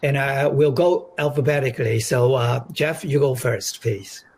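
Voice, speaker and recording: A man's voice: medium pitch, measured pace, a little mellow, husky. A man moderating a panel, making polite, formal introductions over a video call. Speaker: moderator and professor.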